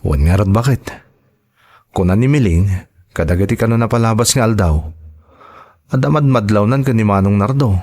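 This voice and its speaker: A man's voice: on the low side, normal speed, long pauses, a little mellow, dry recording, natural voice. A man playing a concerned, plain-spoken husband in a family audio drama, with a sober, earnest delivery. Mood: somber. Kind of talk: dramatized radio drama acting.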